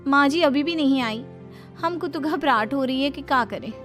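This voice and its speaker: A woman's voice: high voice, moderate pace, soft background music. A woman voicing a respectful, anxious young daughter-in-law in a cartoon family drama. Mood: worried. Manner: respectful, gently fretful.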